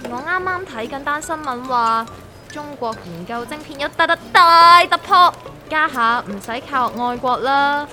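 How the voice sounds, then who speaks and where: A naive, excitable novice investor in a comedic radio drama, acted by a woman, with a very high, bright Hong Kong Cantonese voice. Music plays under the dialogue. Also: unhurried, exaggerated voice. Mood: eager.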